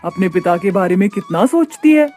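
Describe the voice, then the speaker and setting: A woman acts as a poor young mother in a cartoon story. She is gentle, patient and soothing, with music under her voice. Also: medium pitch, animated, moderate pace, natural voice. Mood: tender.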